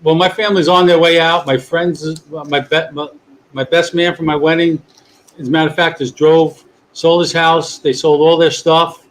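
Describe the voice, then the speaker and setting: A man's voice: on the high side, level tone, moderate pace, poor audio. A man, hosting a casual online chat show in a rambling, talkative way. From Long Island, New York. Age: 60s. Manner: chatty, rambling, restarts often. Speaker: podcast host.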